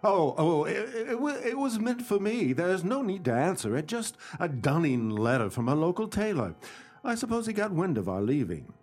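A man's voice: high voice, sing-song, normal speed, a little mellow, exaggerated voice. An actor playing a nervous, obedient male companion in a radio play, hesitant and anxious. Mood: nervous, evasive.

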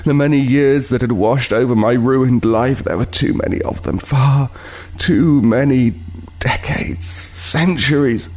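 An actor playing a haunted, guilt-ridden homeless man, telling his horror tale in a grave, deliberate, literary way for an audio play. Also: medium pitch, darkish tone, lightly acted. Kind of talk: dramatic first-person horror narration, audio play.

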